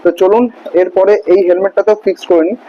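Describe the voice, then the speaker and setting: A man's voice: high-pitched, little pitch movement, fast talker, muffled recording. A man walks viewers through a hands-on demonstration. He talks informally, like a casual vlogger, and often checks in with the viewer as he goes. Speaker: vlogger demonstrating motorcycle gear. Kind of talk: casual hands-on tutorial, step by step.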